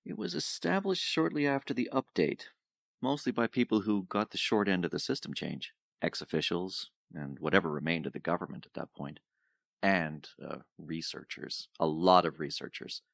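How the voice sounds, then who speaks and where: An actor playing an aloof male professor in an audio drama, speaking in a deliberate, dry, teacherly way. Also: low-pitched, vocal fry, a bit bright, no room sound, full character voice. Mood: measured, teacherly. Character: aloof, measured male professor and former researcher.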